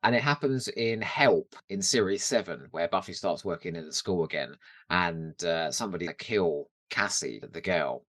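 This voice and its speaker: A man co-hosting a casual podcast chat in an animated way. His voice sits a little low but swings widely in pitch, and it is recorded dry and close. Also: normal speed, dry recording. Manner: animated, sweary. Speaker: podcast co-host. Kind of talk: casual chat about a TV show.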